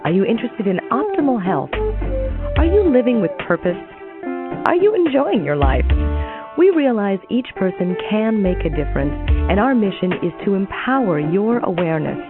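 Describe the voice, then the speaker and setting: Woman announcer, soothing scripted intro, lively pitch, tinny phone-like sound, guitar playing behind. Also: normal speed. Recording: thin phone-like audio with clear guitar music. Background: guitar music.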